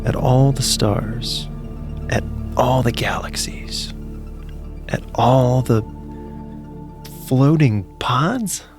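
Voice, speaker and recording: A man's voice: medium pitch, drawn-out words, pauses often, music in the background. A man voicing a lone, resigned astronaut who talks to himself in a brooding way in a sci-fi audio story. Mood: awed. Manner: brooding, self-talking.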